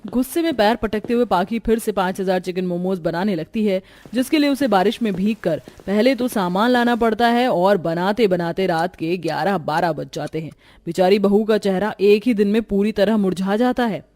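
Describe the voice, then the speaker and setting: A woman's voice: mid-range voice, normal speed, a little mellow. A woman narrator telling a scripted cartoon story.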